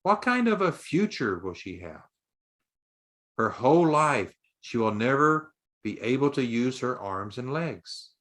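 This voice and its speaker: A man narrating a scripted story in a deliberate, dramatic audiobook style. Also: mid-range voice, sing-song, fairly bright tone.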